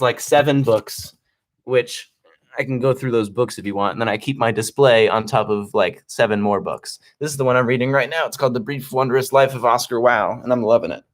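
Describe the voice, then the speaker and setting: Calm, rambling young man streaming. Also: medium pitch. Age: young adult. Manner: calm, soft-spoken, rambling with many restarts. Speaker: livestream host. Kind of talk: relaxed livestream chat. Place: home desk setup.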